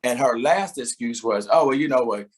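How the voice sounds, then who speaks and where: A man's voice: mid-range voice, moderate pace, breathy edge. A middle-aged man, a singer, talking reflectively in a casual interview, often laughing and repeating words as he starts a thought.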